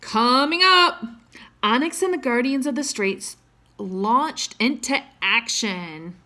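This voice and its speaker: A woman's voice: on the high side, expressive, takes it slowly, pushed and bright. A woman reading a children's adventure story aloud in a dramatic manner, as if to young listeners. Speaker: storybook reader.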